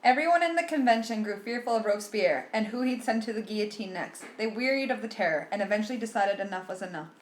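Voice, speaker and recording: A woman's voice: medium pitch, animated, fast, few pauses, slight background noise, poor audio. A woman reading scripted history narration as the narrator of a puppet show.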